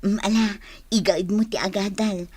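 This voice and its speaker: A woman's voice: mid-range voice, fairly even intonation, normal speed, darkish tone, breathy edge, no echo, close to an everyday voice. An actress with an Ilocano Filipino accent playing a teasing, frank, nosy woman who gives advice in a radio drama.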